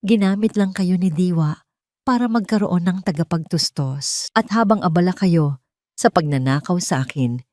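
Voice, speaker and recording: A woman's voice: fairly low pitch, darkish tone, no room sound, clean studio sound. A woman playing a wronged mother in an audio drama, cold, determined and defiant. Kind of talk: Tagalog audio drama dialogue.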